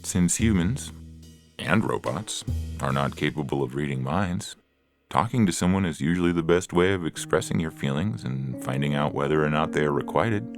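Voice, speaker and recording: A man playing a calm, reassuring therapist in a science fiction audio story, gentle and patient, with music behind him. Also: very deep voice. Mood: gentle, probing. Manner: patient, gentle, measured questioning. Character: calm, reassuring male therapist to humans and robots. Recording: music clearly under the voice.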